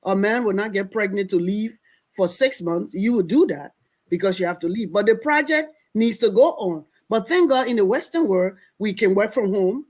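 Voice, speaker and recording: A woman's voice: mid-range voice, animated, moderate pace, a little mellow. Woman hosting a panel discussion. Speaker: discussion host.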